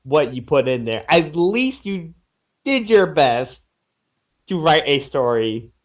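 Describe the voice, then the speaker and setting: Stammering, higher-pitched young-sounding man chatting. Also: sing-song, slow pace. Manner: stammering, repeats and trails off. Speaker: podcast panelist reviewing fan fiction. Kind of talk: casual chat.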